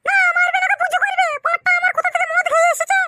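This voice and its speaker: Casting: woman to play short-tempered young village wife for comic cartoon, ultra-high altered voice, rapid snapping threats. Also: level tone, bright, forceful tone. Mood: threatening. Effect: squeaky pitch-shifted cartoon voice. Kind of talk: comic Bengali cartoon dialogue.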